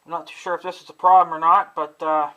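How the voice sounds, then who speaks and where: A man's voice: a little high, flat delivery, fast talker, bright, forceful tone, low-quality recording. A man vlogging casually at home, rambling and swearing often as he complains about his equipment. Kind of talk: casual talking to camera about gear.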